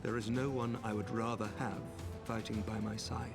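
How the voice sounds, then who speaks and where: An actor playing a noble, resolute knight commander in a video game, speaking formal, eloquent English. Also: male voice, lowish voice, little pitch movement, moderate pace, close to an everyday voice. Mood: gracious, earnest. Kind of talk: scripted video game cutscene acting. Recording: music behind the voice.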